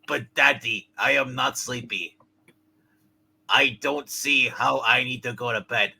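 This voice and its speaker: A man voicing a pleading little sick girl with a raspy voice, hamming it up in a live radio play reading. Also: mid-range voice, measured pace, sharp tone, exaggerated voice. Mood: stubborn pleading. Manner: hammed-up childish pleading. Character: little daughter, sick in bed, pleading and childlike.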